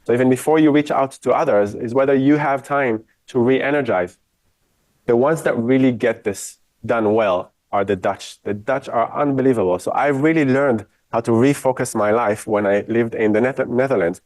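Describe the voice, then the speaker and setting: A man's voice: mid-range voice, normal speed, darkish tone, dry recording. A man from Israel giving a university lecture off the cuff, speaking frankly and giving his own opinions.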